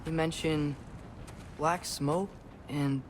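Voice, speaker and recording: A slightly high, youthful voice of an eager, inquisitive teenage son in a video game. He is earnest and explanatory, with faint noise behind him. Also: steady tone, takes it slowly. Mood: earnest, curious. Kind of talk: video game cinematic acting.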